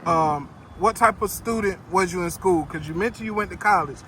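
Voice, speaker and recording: High-voiced man interviewing on the street. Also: brisk, sharp tone. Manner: casual, direct questioning. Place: city street outdoors. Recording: outdoor street noise.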